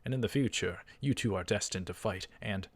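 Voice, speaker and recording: A man's voice: lowish voice, a little fast, no room sound. A man voicing a nosy, theatrical young man while reading a visual novel.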